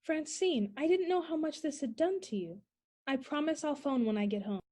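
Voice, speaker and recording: A woman playing a headstrong, unafraid young woman, brisk and self-assured, in an old-time radio play. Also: high-pitched, expressive, warm tone. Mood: reassuring. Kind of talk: 1940s radio play acting.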